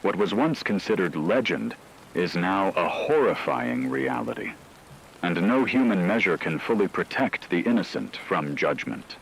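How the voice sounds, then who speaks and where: Casting: man playing grave radio news host, low voice, measured and ominous horror-drama read, rainy noise underneath. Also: lively intonation, gravelly, a bit bright, heavily acted, rough recording. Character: grave male radio newscaster reporting supernatural terror.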